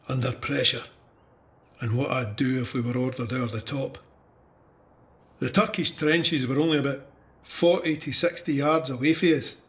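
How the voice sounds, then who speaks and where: A man's voice: medium pitch, fairly bright tone, close to an everyday voice. A man playing a young Scottish soldier who recounts trench warfare in a candid, wry, conversational way for an audio drama. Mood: uneasy, reflective. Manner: conversational storytelling. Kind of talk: first-person audio drama narration.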